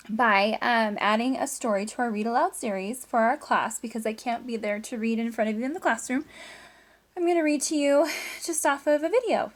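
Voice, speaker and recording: A woman teacher doing an animated read-aloud of a children's story, with chatty asides and questions to young listeners. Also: a little high. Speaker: teacher reading aloud to class.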